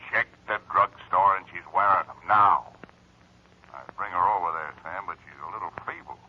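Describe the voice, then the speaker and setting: An actor playing a skeptical, plain-spoken police lieutenant in 1940s radio style. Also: male voice, sits low, normal speed, husky. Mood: businesslike. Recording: dull vintage radio recording.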